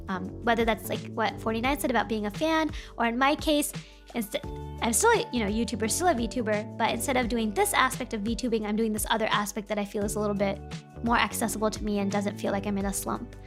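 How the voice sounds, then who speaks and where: Vtuber lady chatting w/ her viewers, kinda broken voice, higher pitch, rambly, soft music behind. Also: quick pace. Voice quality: slightly broken voice. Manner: rambling, chatty, answers chat. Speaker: VTuber streamer. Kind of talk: casual chat stream.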